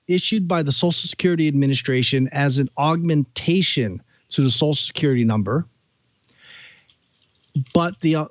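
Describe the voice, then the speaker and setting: A man hosting a talk, with a somewhat high voice, tells a story and then puts questions to his guest. The sound is dry and dull, like a lo-fi call recording. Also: dry recording. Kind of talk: conversational questioning.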